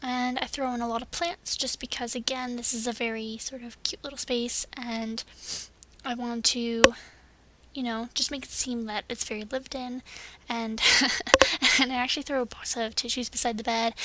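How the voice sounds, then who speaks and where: A woman's voice: on the high side, background noise. A young woman with a stuffed-up nose from a summer cold, chatting casually and laughing often as she narrates her building game. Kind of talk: casual voice-over commentary.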